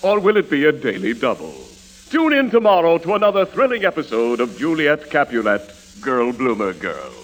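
An actor playing a grandiose, mock-dramatic serial announcer in a 1940s radio comedy. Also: male voice, a little high, wildly swooping pitch, normal speed. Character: overdramatic mock serial announcer pitching silly sponsors. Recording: old radio recording with loud music.

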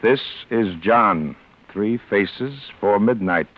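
A tough little older boss in a forties radio crime play. His voice sits low, and he talks in curt, needling, bossy bursts. The old recording sounds dull and boxed in. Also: slow pace. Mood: sly, approving. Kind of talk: 1940s radio drama acting.

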